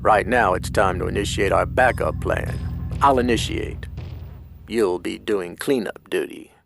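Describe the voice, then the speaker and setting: An actor playing a coldly sadistic mastermind in an audio drama, speaking in a deliberate, taunting, mock-polite way. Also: male voice, sits low, vocal fry, very noisy. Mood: sadistic, gloating. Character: menacing, coldly sadistic male mastermind of a covert group.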